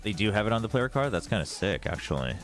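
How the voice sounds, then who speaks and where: A man's voice: on the low side, sing-song, fast talker, soft background music. A man with an American East Coast accent chatting informally as he hosts a casual esports livestream. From United States. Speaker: esports watch party host and analyst. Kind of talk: casual livestream commentary and chat.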